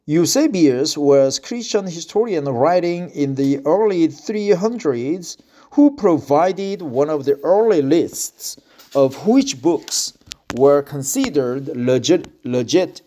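A man with a Korean accent reads slowly in English, often going back over a phrase before he moves on. His voice sits a little high for a man, and his tone stays relaxed and conversational between the sentences he reads. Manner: reads slowly, repeats phrases, breaks off to comment. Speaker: reader practicing English. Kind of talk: reading aloud with casual commentary.

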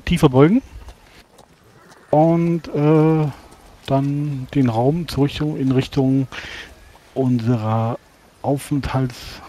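A man speaks as a player in a tabletop roleplaying game. His voice is soft-edged and dark, and he words things formally, with some hesitation. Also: mid-range voice. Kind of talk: in-character roleplay dialogue in an online game.

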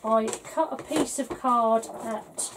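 A middle-aged woman from England, slightly hoarse, chatting her way through a papercraft tutorial at her desk with lots of fillers. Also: a little high, slow, background noise. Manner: chatty, rambling, full of fillers. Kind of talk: casual crafting tutorial.

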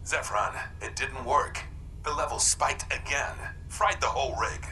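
An actor playing a secretive, intense doctor in a video game, hushed, breathy and urgent. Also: male voice, mid-range voice, unhurried, close to an everyday voice. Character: secretive, intense male doctor seeking a cure.

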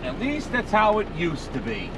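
A man's voice: high-pitched, wildly swooping pitch, moderate pace. A man, a truck driver musing aloud in an offhand, rambling way as he drives. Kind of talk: casual driving commentary. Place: inside a truck cab on the road. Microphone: dash camera in the cab. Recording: loud engine and road noise.

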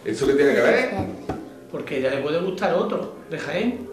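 A man's voice: a little high, sing-song, normal speed. A man interviewing children from off camera in a playful, teasing manner. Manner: teasing, jokey. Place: classroom studio. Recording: echoey room, off-mic, music behind.

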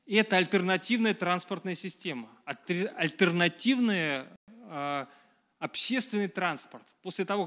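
A middle-aged man giving a public lecture to a live audience in a small dark room, talking conversationally with many fillers and restarts, recorded from a camera at a distance. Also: high-pitched.

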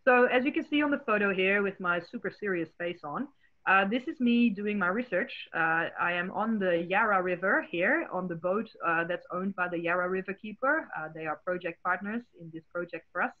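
A woman's voice: mid-range voice, fairly quick. A woman from the Netherlands with a Dutch accent, a marine biologist presenting slides on a video call in a friendly, explanatory way, with many fillers. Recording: tinny phone-like call audio.

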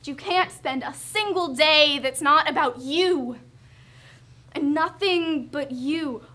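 Teen girl doing a jealous hurt young wife, theatre monologue, emphatic, high sharp voice. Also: full character voice. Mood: angry, sarcastic. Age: teens. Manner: emphatic, sarcastic. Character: hurt, jealous young wife berating her self-absorbed husband.

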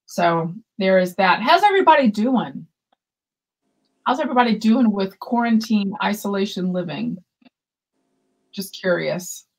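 A woman's voice: medium pitch, expressive, measured pace, long pauses, rough recording. A woman in her fifties co-hosting a yarn shop livestream over a video call, chatting and reading viewer comments aloud. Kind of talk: casual livestream chat. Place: room with shelves of yarn behind. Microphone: webcam video call.

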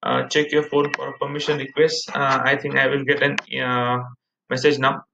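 A man's voice: mid-range voice, monotone, moderate pace, gravelly, background noise. A man in his thirties with an Indian accent, presenting a casual step-by-step screen-share tutorial in a repetitive way. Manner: repetitive, step-by-step explaining, addressing viewers as guys. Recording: muffled, echoey room recording.

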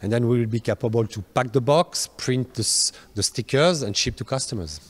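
A man gives an explanatory factory demonstration into a handheld mic, haltingly, searching for words. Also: medium pitch, slightly rough, no room sound. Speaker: factory guide. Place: large factory workshop, indoors. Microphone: handheld microphone. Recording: music behind the voice.

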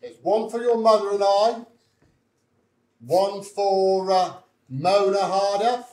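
High-voiced henpecked pantomime father acted by a man. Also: slow, darkish tone. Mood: mild, pleased. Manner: hesitant, mildly indignant, proper. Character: mild, henpecked, blustering middle-aged father and ex-soldier. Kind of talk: stage pantomime comedy. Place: indoor stage, amateur theatre performance. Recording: roomy stage recording, noticeable background noise.

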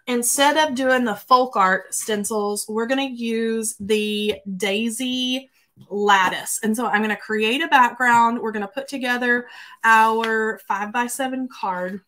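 A woman in her forties, slightly hoarse, chatting casually as she hosts a live craft stream from her home craft room and greets viewers by name. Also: a little high, a bit bright. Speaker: craft livestream host.

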